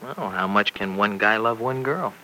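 Blunt, bright-voiced young American guy. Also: fairly low pitch, normal speed. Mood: blunt, defensive. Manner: blunt, defensive, colloquial. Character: blunt, loyal young American truck-driving man. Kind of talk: old-time radio serial acting. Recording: noisy old radio broadcast recording.

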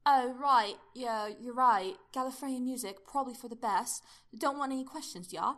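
Excitable female time traveller acted out by a woman, higher voice, affectionate, chatty, musing, audio storybook. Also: moderate pace, natural voice. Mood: agreeable, brisk.

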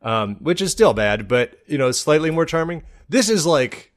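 A man's voice: fairly high pitch, very animated, moderate pace. A man riffing casually as a comedy podcast co-host, sarcastic and given to slipping into mock quotes.

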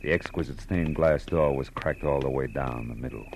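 An actor playing a wry, tough private detective in an old radio noir, with clipped, slangy delivery. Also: male voice, rumbling low, moderate pace, darkish tone, slight background noise. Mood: wry narrating. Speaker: narrator and lead. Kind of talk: 1940s radio noir narration and dialogue. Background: music.

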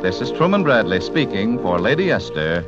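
A man's voice: fairly low pitch, sing-song, normal speed. Man, radio announcer, formal, polished, very varied intonation, 1940s style, prominent music, dull recording. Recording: muffled vintage 1940s radio with loud music.

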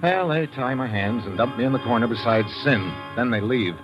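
A man's voice: medium pitch, animated, a little fast, lightly acted. An actor playing a wisecracking, hard-boiled agent who narrates his own trouble in slangy 1950s radio adventure style. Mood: wry, uneasy. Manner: wry, slangy, tough-guy delivery. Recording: muffled vintage radio recording, loud music behind.

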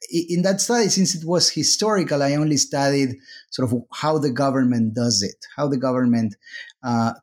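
Slow, hesitant man. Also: on the high side. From Argentina. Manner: hesitant, many uhs and restarts. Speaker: guest author, sociology professor. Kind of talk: interview answers.

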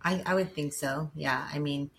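A woman in her forties co-hosting a casual chat, talking in a relaxed, rambling way. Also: deep voice, moderate pace. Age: 40s. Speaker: podcast co-host.

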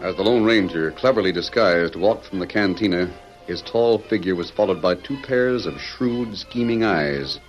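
A dramatic American storyteller played by a man narrates a western serial in a low voice. His delivery is formal, in old radio style. The sound is dulled, with faint music underneath. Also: normal speed, close to an everyday voice. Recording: muffled vintage radio recording.

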